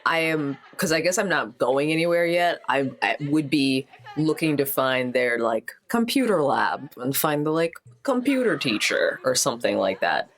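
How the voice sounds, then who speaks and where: A man's voice: fairly high pitch, very animated, moderate pace, hardly any pauses, a bit bright. A man playing a tabletop game, chatting casually and thinking aloud in a tentative way.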